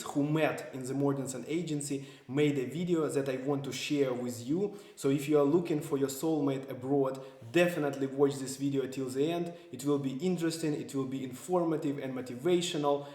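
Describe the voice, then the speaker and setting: A man's voice: mid-range voice, a little fast, few pauses, a little mellow. Upbeat man with a promo intro. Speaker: marriage agency manager. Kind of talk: scripted channel intro. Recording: roomy echo.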